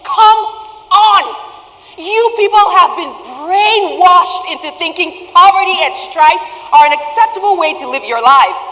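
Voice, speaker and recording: A woman's voice: extremely high pitch, lively intonation, a little slow, pushed and bright, heavily acted. A woman playing a defiant, idealistic customer in a stage play, speaking in an earnest, declamatory way. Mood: impassioned. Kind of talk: theatrical stage play acting. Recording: reverberant theatre hall.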